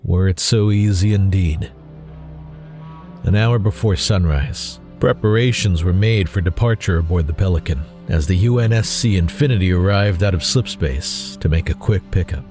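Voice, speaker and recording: Casting: male narrator, deep voice, scripted science fiction storytelling with soft music underneath. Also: normal speed.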